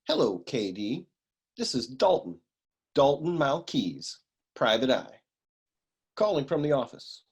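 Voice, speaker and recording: A man playing a cynical, down-on-his-luck private eye in a humorous noir radio play, world-weary and grumbling, with a husky voice. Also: mid-range voice, sing-song, unhurried, pauses often, full character voice. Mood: wry.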